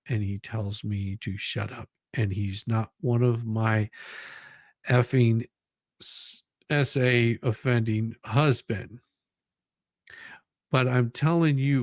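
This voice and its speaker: A man reading documents aloud and commenting on them in a halting, deliberate way. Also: medium pitch, dry recording. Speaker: commentary show host.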